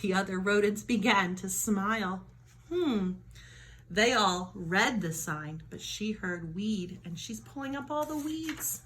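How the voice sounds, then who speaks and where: A woman's voice: mid-range voice, expressive, slow, a bit bright. A woman storyteller reading a children's book aloud with dramatic emphasis, stretching out key words.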